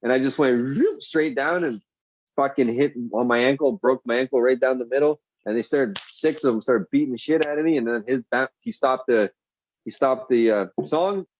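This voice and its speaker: A man chatting as a podcast guest, telling stories in a loose, profane, rambling way. His voice sits at an ordinary middle pitch, and he gets animated as he goes. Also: moderate pace, dry recording, rough recording. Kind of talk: casual storytelling chat.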